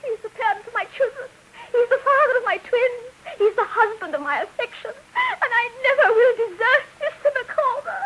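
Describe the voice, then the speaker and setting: A woman's voice: very high voice, expressive, normal speed, hardly any pauses, a bit bright, lo-fi sound. A woman playing a prim, melodramatic, devoted middle-aged wife in a theatrical 1950s radio drama. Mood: tearful, melodramatic. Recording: hissy old radio broadcast.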